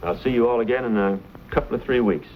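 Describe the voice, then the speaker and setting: An actor playing an earnest, courteous frontier preacher, speaking with measured, pious formality in an old Western drama. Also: male voice, medium pitch, lightly acted. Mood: courteous, grateful. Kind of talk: mid-century Western drama acting. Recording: tinny, clearly noisy old broadcast recording.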